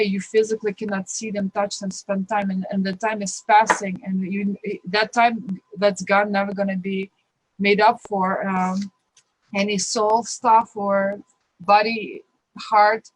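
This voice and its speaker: A woman from Ukraine with a Ukrainian accent, a guest talking earnestly and warmly about her life, rambling and restarting her sentences. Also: mid-range voice, no room sound, poor audio. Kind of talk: casual interview talk, personal advice.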